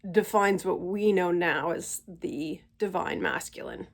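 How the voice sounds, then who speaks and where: A woman in her forties or fifties hosting her own channel, talking slowly and thoughtfully at home while stuffy from a cold. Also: fairly low pitch, creaky. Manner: gentle, unhurried, thoughtful pauses. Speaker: channel host. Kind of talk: slow reflective spiritual monologue.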